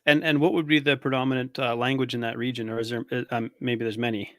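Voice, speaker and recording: A man's voice: medium pitch, level tone, fast, a bit bright, no echo, studio quality. A man hosting a casual interview, asking curious, tentative questions and acknowledging the answers often. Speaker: podcast host.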